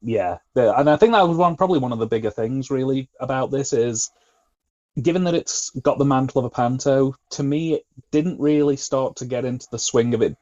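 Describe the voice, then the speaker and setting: A man co-hosting a podcast, casually discussing and explaining his opinions in a measured, careful way. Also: medium pitch, dark tone, dry recording, dull audio. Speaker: podcast co-host.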